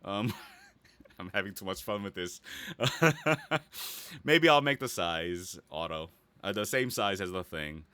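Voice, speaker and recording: A man's voice: low-pitched, lively intonation, takes it slowly, long pauses, sharp tone, dry recording. A man giving a casual, playful tutorial, thinking aloud as he goes and often checking in with the listener. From Korea or United States. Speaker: voice actor giving a website tutorial.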